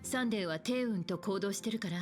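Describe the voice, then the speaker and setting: An actress voicing a calm, composed mature woman leader in a game, measured and cautious. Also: medium pitch, natural voice. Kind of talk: Japanese game voice acting. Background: game music.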